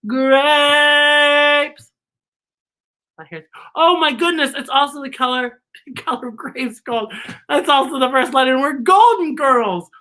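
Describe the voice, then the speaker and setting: Woman, children's presenter, high voice, unhurried pace, exaggerated and playful delivery. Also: pushed and bright. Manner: exaggerated, theatrical, giggly. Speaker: children's story time host. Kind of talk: playful children's show presenting with letter lessons and singing.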